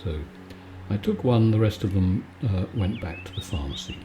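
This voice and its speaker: A man giving a calm, soothing, conversational bedtime chat in a relaxed ASMR style. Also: lowish voice, normal speed, noisy background. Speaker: video maker and host.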